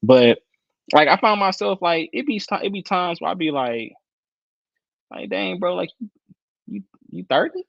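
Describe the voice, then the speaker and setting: A man in his early thirties speaks as a guest on an interview show over a video call. He talks in an animated, slangy way full of fillers and restarts. The call audio is dull and slightly lo-fi, and it has a dry, close sound. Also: high voice, moderate pace, gravelly, long pauses, no echo. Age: 30s. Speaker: guest, football analyst. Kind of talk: casual interview chat.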